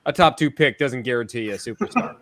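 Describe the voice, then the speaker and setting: A man's voice: medium pitch, fairly quick, fairly bright tone. A man co-hosting a casual sports podcast, wry and rambling, chuckling as he talks. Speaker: podcast co-host.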